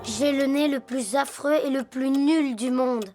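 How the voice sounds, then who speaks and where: A young animal boy from a kids' cartoon, a gloomy, earnest little inventor. His voice is high and slow, with a plaintive edge. Music runs clearly behind it. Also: darkish tone. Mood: dejected. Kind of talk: children's cartoon dubbing.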